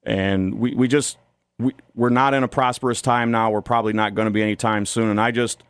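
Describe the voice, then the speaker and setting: A man's voice: lowish voice, steady tone, brisk, clean tone, dry recording. An American man from Ohio speaking as a studio guest in a political radio interview, earnest and critical. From Toledo, Ohio, United States.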